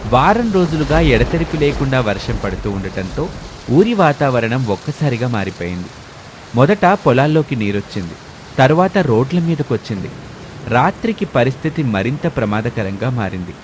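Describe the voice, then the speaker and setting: A man's voice: mid-range voice, very animated, moderate pace, noisy background. A man reading a scripted story as its narrator.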